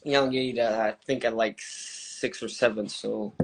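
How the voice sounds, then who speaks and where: A man in his twenties from Montreal with a Quebec French accent, whose first language is French. He is a podcast guest who speaks English haltingly, with many hesitations. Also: fairly low pitch, fairly even intonation, low-quality recording. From Montreal, Canada. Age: 20s. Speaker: guest, MMA fighter. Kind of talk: casual podcast interview.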